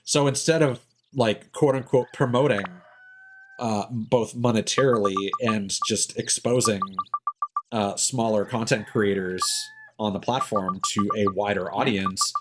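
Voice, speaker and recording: A man's voice: fairly low pitch, fairly even intonation, unhurried, over loud music. A man livestreaming a game and chatting casually, with a rambling, sardonic, opinionated manner. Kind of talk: casual opinionated stream chat.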